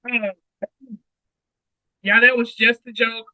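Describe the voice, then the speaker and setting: A woman's voice: on the high side, level tone, takes it slowly, sharp tone, no room sound. A woman co-hosting a Bible read-along, speaking informally in casual chat and reading aloud, on a muffled home stream mic.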